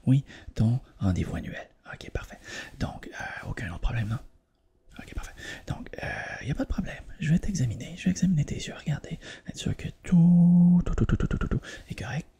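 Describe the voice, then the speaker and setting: A man with a Quebec French accent doing a soft, hushed, reassuring ASMR roleplay as an examiner. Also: a little high, sing-song, normal speed, slightly rough.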